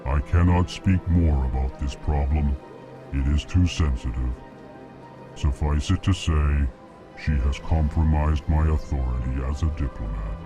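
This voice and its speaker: An actor playing a slow, formal, anxious alien diplomat with a monotone delivery in a video game. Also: male voice, very deep voice, music in the background. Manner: monotone delivery, announces own emotional tone before sentences.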